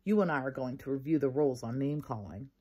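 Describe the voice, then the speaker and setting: A woman reading the part of a patient but firm male first-grade teacher in a storybook, measured, praising and gently correcting. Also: extremely low pitch, breathy edge, pitched below the performer's own voice.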